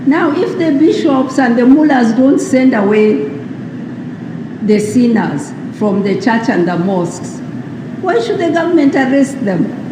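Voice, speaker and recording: A woman from Uganda giving a formal public speech, slow and emphatic, posing rhetorical questions. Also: high-pitched, expressive, roomy sound, long reverb tail, noisy background. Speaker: speaker at a World AIDS Day event. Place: large hall at a public ceremony.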